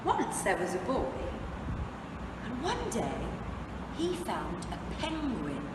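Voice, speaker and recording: Slow, lively woman reading in an echoey room. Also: mid-range voice. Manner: slow, dramatic phrasing with deliberate pauses for young listeners. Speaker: English teacher reading a picture book aloud. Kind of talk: expressive children's storybook read-aloud. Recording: echoey, reverberant room, distant mic, noisy background.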